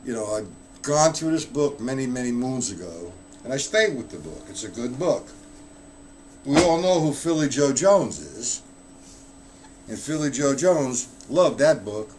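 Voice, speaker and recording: A man's voice: mid-range voice, sing-song, a little slow, background noise. A man giving a casual live-streamed drum lesson, explaining rudiments in a loose, chatty way and answering viewers in the chat. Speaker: drum teacher.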